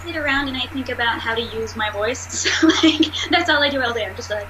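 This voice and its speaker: A woman voice actress and singer with a nasal voice, talking fast and animatedly in a casual interview over a video call. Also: on the high side, a crowd in the background, low-quality recording. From United States. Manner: fast, animated, laughs often.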